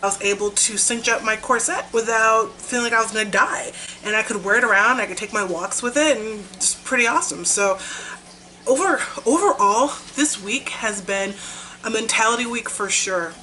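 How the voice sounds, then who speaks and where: A woman's voice: mid-range voice, normal speed, bright, forceful tone, a little hiss or hum, low-quality recording. A young woman chatting casually in a vlog, upbeat and talkative, while she is losing her voice. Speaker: vlogger. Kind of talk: casual weight-loss vlog.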